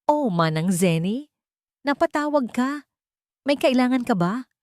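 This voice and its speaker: A woman's voice: high voice, expressive, a little slow, no room sound, clean studio sound, voice pushed up. A woman storyteller voicing a cold, cunning rich wife who toys with her victim in a mocking, playful way. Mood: mock sweetness.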